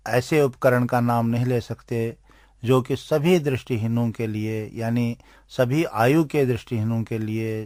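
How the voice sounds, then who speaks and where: A man with an Indian accent giving explanatory interview answers as an expert, speaking in deliberate, halting phrases. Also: medium pitch, darkish tone, no room sound, studio quality. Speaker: interviewed expert on aids for blind people.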